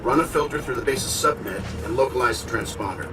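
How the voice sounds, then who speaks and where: Action game lead: wisecracking male inventor hero played by an actor, brisk and confident, distant sound with heavy score. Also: medium pitch, over music, natural voice. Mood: brisk, focused. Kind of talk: video game voice acting.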